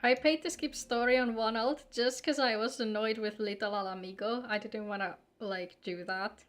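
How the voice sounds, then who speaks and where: A woman's voice: fairly high pitch, fairly even intonation, moderate pace. A woman live-streaming a game, chatting casually and teasingly with viewers in sweary, informal talk.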